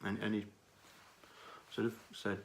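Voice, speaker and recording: A man giving unscripted commentary on a true-crime case, speaking in a halting, deliberate way with frequent pauses and repeated words. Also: on the low side, monotone, vocal fry, slight background noise.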